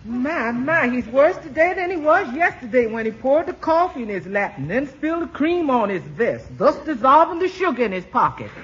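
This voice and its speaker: An actress playing a sassy, put-upon household cook with a comically wordy manner in a 1940s radio comedy. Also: female voice, sits high, sing-song, normal speed, hardly any pauses, slight background noise. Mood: grumbling. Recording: muffled 1940s radio recording.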